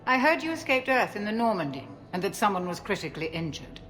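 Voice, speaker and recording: A woman playing a mature, caring military doctor in a video game, measured and formal. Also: mid-range voice, very animated, a bit bright, soft background music. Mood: concerned, composed. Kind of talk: video game cutscene acting.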